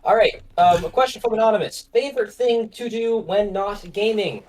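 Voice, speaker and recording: A man's voice: high-pitched, moderate pace, darkish tone. A man co-hosting a casual Q&A, joking and self-deprecating as he reads out questions, on a distant mic with noise suppression. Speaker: co-host. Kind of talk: casual chat. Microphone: mic set far away, noise-suppressed.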